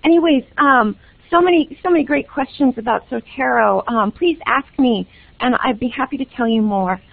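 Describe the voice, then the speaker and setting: A woman's voice: normal speed, creaky, slightly rough, no echo. A woman librarian giving an upbeat, enthusiastic webinar presentation over a phone-like connection. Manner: upbeat, enthusiastic sales-pitch delivery.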